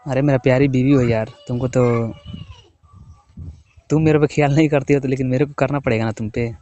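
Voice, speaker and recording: A man's voice: medium pitch, fairly even intonation, a little fast, warm tone, dull audio. A young man from the of India, chatting casually with his wife, teasing and coaxing. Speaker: husband working away from home. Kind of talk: casual phone chat.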